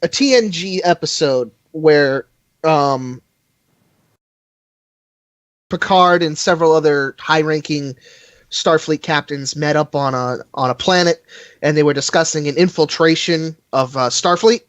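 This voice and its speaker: A man with a slightly high voice talks through a casual fan podcast in a halting, rambling way. The sound is dry and a little dull, close and without room. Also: a bit bright, dry recording. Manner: halting, rambling, chuckles often. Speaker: live stream host.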